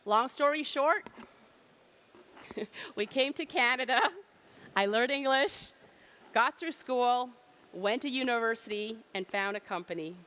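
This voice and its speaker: Middle-aged woman presenter with a slightly high voice, emphatic keynote delivery and rhetorical questions. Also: a little slow, pauses often, a bit bright. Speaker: trade fair presenter.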